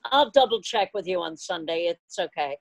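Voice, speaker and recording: A woman's voice: on the low side, lively intonation, normal speed, rough recording. A woman chatting casually and jokingly with fellow club members on a video call, often laughing. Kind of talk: casual group chat. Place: indoors at home, video call.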